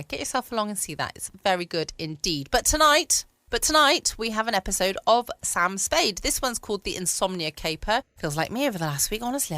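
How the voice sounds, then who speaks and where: A woman's voice: on the low side, bright, forceful tone, dry recording. Chatty British woman, close and dry. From United Kingdom. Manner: chatty, self-deprecating, asks listeners rhetorical questions. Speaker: podcast host. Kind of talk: casual late-night solo chat. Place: home, close dry recording.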